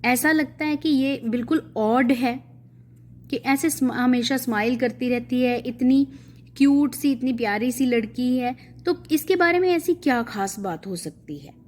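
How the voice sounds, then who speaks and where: A woman teacher, reading lines slowly and then explaining them at length. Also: high voice. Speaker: English teacher. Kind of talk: explaining an English text in Hindi.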